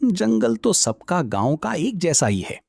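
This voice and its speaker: A man playing a kindly old village grandfather in an audio story, husky and creaky, speaking haltingly. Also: high-pitched, big pitch swings, darkish tone, dry recording, exaggerated voice. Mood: evasive.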